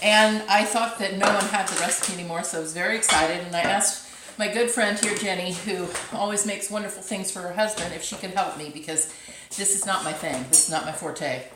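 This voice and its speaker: A woman's voice: mid-range voice, fairly even intonation, fairly quick, background noise. A middle-aged woman from around Sacramento, chatty and joking as a guest in a friend's kitchen. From Sacramento area. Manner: chatty, joking, talks over others. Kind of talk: casual kitchen chat. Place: home kitchen. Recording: echoey kitchen, pans clattering.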